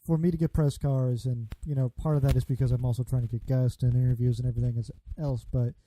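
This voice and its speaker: A man hosting a casual interview podcast, talking in a relaxed, rambling way. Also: medium pitch, fast, a little hiss or hum, poor audio. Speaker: podcast host.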